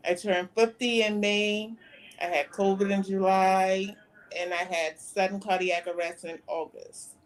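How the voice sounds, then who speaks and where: A woman in her fifties, a guest on a talk show, recounting events in a plain, soft-spoken way. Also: medium pitch, fairly even intonation, unhurried, poor audio. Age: 50s. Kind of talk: interview conversation.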